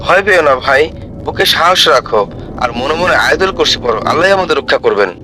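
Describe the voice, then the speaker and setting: A man playing a calm, devout young village friend in a cartoon, speaking in a steady, reassuring way. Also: a little high, fast, noisy background, close to an everyday voice.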